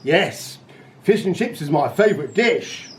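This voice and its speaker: A man's voice: a little high, big pitch swings, a little slow, slight background noise. A man playing a fussy, always-hungry king in an outdoor storybook performance, making grand, demanding pronouncements. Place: back garden outdoors.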